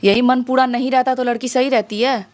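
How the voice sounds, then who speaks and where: High-voiced Bihari woman, indignant and challenging. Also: fairly even intonation, fairly quick, darkish tone. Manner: indignant, challenging, rhetorical questions. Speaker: interview guest, actress. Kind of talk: conversational interview testimony.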